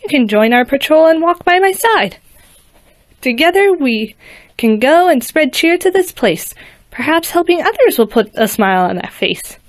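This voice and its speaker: A woman's voice: sits high, very animated, normal speed, voice pushed up. A woman voicing a kind, cheerful young female pony princess who speaks in a sweet, soothing way.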